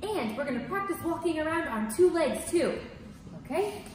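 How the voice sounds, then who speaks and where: A woman in her thirties teaches a kids' music lesson with a high, swooping voice. She talks with enthusiasm and exclaims often. Heavy echo fills the room, with a faint hiss behind her. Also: animated, normal speed, a little mellow. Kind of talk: teaching children. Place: studio in front of green screen.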